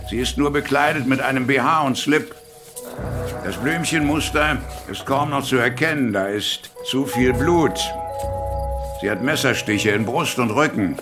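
An actor playing a matter-of-fact police sergeant giving a clipped crime scene report in a German radio play. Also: male voice, medium pitch, close to an everyday voice.

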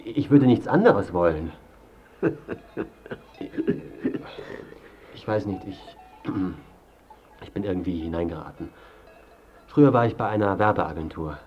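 A man's voice: sits low, lively intonation, moderate pace, pauses often, dull audio, faint music behind. An actor playing a smug, calculating journalist in his thirties, narrating and talking with dry self-importance in a radio drama. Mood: hesitant. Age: 30s. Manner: self-important, smooth-tongued, dryly cynical. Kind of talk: first-person narration and dialogue in radio drama.

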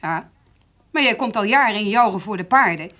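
A farmer's wife in a radio drama. Her voice sits at a medium pitch. She is curt and guarded, suspicious and quietly hurt. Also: expressive, fairly quick. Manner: terse, guarded. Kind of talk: radio play acting.

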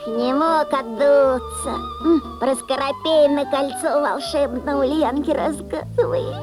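A woman's voice: high voice, wildly swooping pitch, measured pace, music in the background, exaggerated voice. A woman playing a simple, worried old peasant mother who speaks exclamatory rustic dialect in a folk-tale audio play. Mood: worried, grumbling.

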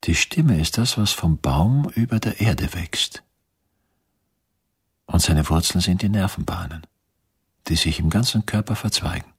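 An actor playing an earnest eighteenth-century physician in a radio drama, reserved, probing and deliberate. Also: male voice, sits low, animated, slightly rough, full character voice. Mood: musing.

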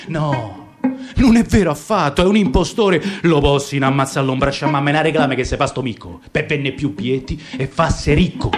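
A man's voice: on the high side, pitched below the performer's own voice, lightly acted. A man voicing a gloomy, proud caged circus lion in radio theatre, grumbling resentfully with a Roman accent. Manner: brooding, grumbling complaint.

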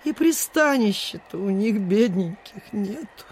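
A meek, simple-hearted old landlady in a radio drama. She talks slowly, with sighs and pity, her voice swooping up and down. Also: medium pitch, lively intonation, breathy edge. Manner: gentle, sighing, pitying, old-fashioned diminutives. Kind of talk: Soviet radio play acting.

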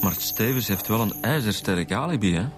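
An actor with a Flemish accent playing a weary, apologetic junior police detective with a hesitant way of talking in a radio drama. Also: male voice, lowish voice, animated, a little fast, over music, natural voice. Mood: earnest. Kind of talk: radio drama acting in Dutch.

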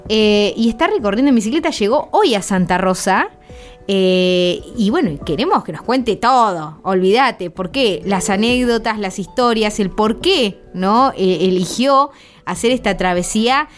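A woman's voice: mid-range voice, animated, a little slow. A woman hosting a radio interview, chatty and full of fillers, with faint music behind her. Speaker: radio host.

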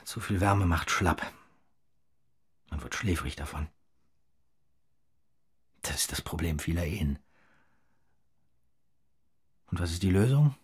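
A restless young thinker in an audio drama. The voice sits very low and is thick with breath and husk. The delivery is intimate and philosophical, with a vulnerable edge. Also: male voice, animated, slow pace, long pauses, husky, exaggerated voice. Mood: musing.

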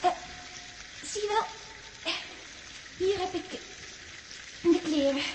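A woman's voice: extremely high pitch, slow. An actress playing a childlike, bossy young girl who teases playfully, in a 1960s radio drama. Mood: brisk, bossy. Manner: playful, teasing, chirpy. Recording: old 1960s radio, steady hiss.

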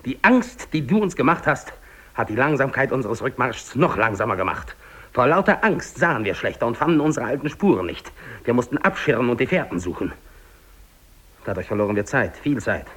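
A man's voice: mid-range voice, big pitch swings, fairly quick, a bit bright. A male actor playing a lone, dying English polar explorer in a 1950s German radio drama monologue, theatrical, defiant and despairing, moving between muttering and declaiming. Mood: accusing, anguished.

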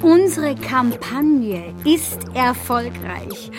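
A woman's voice: high voice, big pitch swings, very slow, music in the background, full character voice. An actress in a radio play voicing a breezy, insincerely sympathetic female campaign colleague. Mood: falsely sympathetic.

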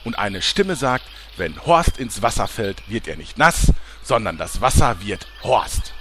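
A scheming, smarmy flatterer acted by a man in a comic fantasy radio play. He talks in grandiose salesman patter, low and very animated, over slight background noise. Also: a little slow. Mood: flattering, grandiose. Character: smarmy, scheming male ex-journalist turned flatterer. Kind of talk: parody fantasy audio drama.

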